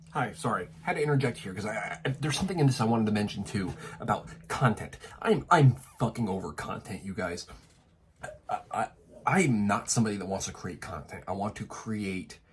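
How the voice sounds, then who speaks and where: Lowish-voiced man, frank and rambling. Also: slight background noise. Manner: frank, swears a lot, rambling with restarts. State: stuffy, getting over a cold. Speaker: vlogger. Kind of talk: candid personal vlog rant. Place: apartment in Tokyo.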